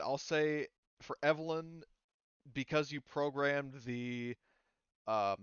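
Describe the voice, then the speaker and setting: A man's voice: medium pitch, fairly bright tone, clear, resonant tone, no echo, dull audio. A man improvising narration as game master in a casual, rambling, hesitant way.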